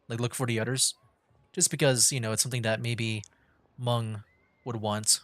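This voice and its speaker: A man's voice: medium pitch, flat delivery, moderate pace, fairly bright tone, dry recording. A man hosting a game livestream with casual commentary, musing aloud in a rambling way. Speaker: livestream gamer host.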